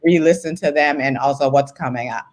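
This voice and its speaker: A woman's voice: low voice, fairly quick. A woman in her sixties talking casually as an interview guest, reflective and enthusiastic. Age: 60s. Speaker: author and writing teacher, interview guest.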